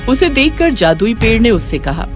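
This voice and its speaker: A woman's voice: fairly high pitch, very animated, moderate pace. A woman narrating a scripted children's moral story. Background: music.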